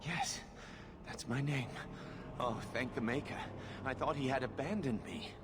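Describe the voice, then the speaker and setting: An actor playing a frightened young recruit in a fantasy video game, stammering and pleading anxiously. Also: male voice, medium pitch, unhurried, noisy background, close to an everyday voice. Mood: dazed relief. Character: frightened, shaken young male recruit rescued from captors. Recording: hall reverb with loud music behind.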